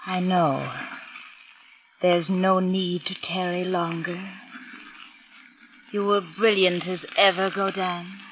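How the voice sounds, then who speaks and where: An actress playing a rapt, sinister, devoted lover in a 1940s radio horror drama. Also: female voice, fairly low pitch, fairly even intonation, slow, pauses often. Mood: rapt devotion. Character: devoted, sinister wife plotting with her uncanny lover. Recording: dull, noisy vintage radio recording.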